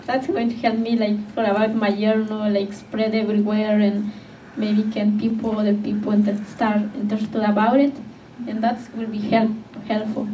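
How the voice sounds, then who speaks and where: A young woman, a native Spanish speaker, answering questions at a public event in halting English full of fillers. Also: mid-range voice, little pitch movement, warm tone. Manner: hesitant, filler-heavy non-native English. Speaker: author sharing her story. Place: community media event room. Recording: distant, echoey with faint noise.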